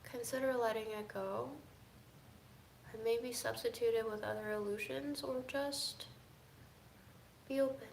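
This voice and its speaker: A tired woman vlogging casually to camera, musing in a drifting way that moves between soft and emphatic. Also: fairly high pitch, fairly even intonation, measured pace, gravelly, long pauses, echoey room, a little hiss or hum. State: fatigued. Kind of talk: casual reflective chat to camera.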